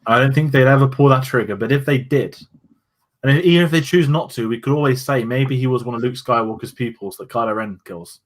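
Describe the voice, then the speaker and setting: A man's voice: mid-range voice, a little fast. A man co-hosting a casual review chat, musing loosely with restarts and fillers. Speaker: podcast co-host.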